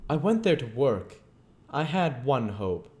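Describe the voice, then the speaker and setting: A man's voice: medium pitch, big pitch swings, a little slow, darkish tone, pitched above the performer's own voice. A man voicing an arrogant, bitter, volatile scientist on the run in a storybook, declaiming emphatically and indignantly. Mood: eager, boastful.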